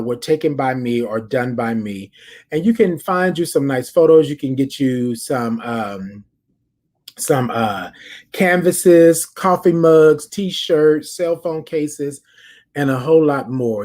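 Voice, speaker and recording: An American man with a fairly high-pitched voice talks as a podcast host. He speaks casually and directly to his listeners, as if checking in with them. He is emphatic, often repeating a phrase to press the point. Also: a little mellow. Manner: addresses listeners directly as an audience. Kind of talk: casual chatty check-in and storytelling.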